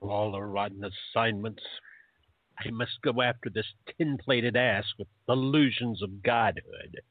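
A man's voice: lowish voice, fairly even intonation, measured pace, no room sound. A man playing a grumpy, blunt, sarcastic errand man in a radio play, curt and exasperated. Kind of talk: hobby radio play acting. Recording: dull lo-fi audio.

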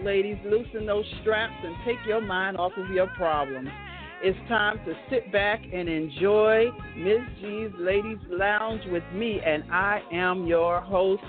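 An American woman hosting an online talk show in an upbeat, chatty, devout and exuberant way. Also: medium pitch. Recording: band-limited phone-like line, music behind.

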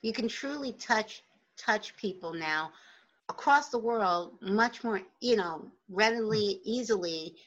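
A woman's voice: medium pitch, expressive, measured pace, fairly bright tone, muffled recording. A woman with a New Jersey accent hosting an interview from her home office, talking in a chatty, rambling way and laughing easily. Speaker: radio show host. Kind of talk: casual interview chat.

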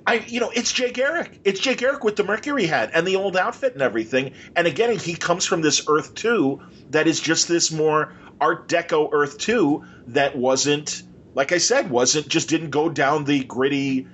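A man's voice: fairly high pitch, normal speed, a bit bright, dull audio, slight background noise. A man hosting a podcast, chatting casually and enthusiastically in an informal, rambling way. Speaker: podcast host.

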